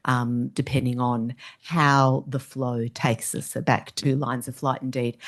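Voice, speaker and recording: Brief: Australian woman, seminar chair, low voice, brisk friendly housekeeping. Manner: friendly, organising, quick asides. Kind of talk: chairing a Q&A session. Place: seminar room.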